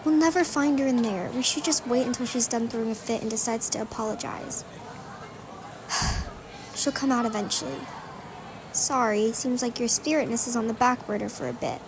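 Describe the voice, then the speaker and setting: A woman's voice: on the high side, lively intonation, normal speed, noisy background. A woman acting a practical, level-headed young friend in an amateur fantasy roleplay drama. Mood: matter-of-fact.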